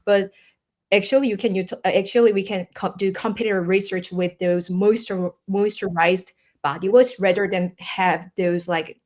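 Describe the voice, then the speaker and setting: Female interview guest, Korean accent, mid-pitched, conversational expert who feels her way through English with examples. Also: fairly even intonation, moderate pace, darkish tone. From South Korea. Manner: explains with examples in non-native, searching English.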